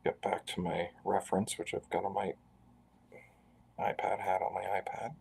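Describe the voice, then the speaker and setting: A man's voice: low voice, level tone, normal speed, gravelly, a little mellow, slightly rough. A man in his forties from Canada, chatting casually and rambling with self-deprecating asides while he draws on a live stream. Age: 40s. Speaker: artist live-streaming a drawing.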